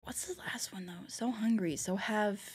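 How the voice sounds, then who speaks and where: A woman's voice: medium pitch, normal speed, breathy edge. A woman hosting a reaction video, thinking aloud in rambling, casual commentary with bursts of exclamation.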